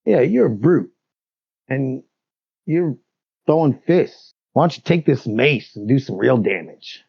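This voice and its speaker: A man's voice: mid-range voice, very animated, unhurried, vocal fry, breathy edge, dry recording, muffled recording. A man playing a tabletop game, joking between his character's lines. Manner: joking, laughing. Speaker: player.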